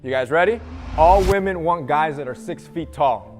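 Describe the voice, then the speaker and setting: A man hosting a group debate video, moderating casually with brisk, quick reactions. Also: fairly high pitch, big-room sound, over music.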